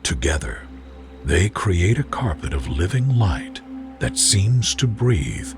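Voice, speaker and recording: A man reading a scripted guided sleep visualization in a soothing, gentle, measured way, as a narrator of bedtime relaxation stories. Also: low voice, big pitch swings, creaky. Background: music behind the voice.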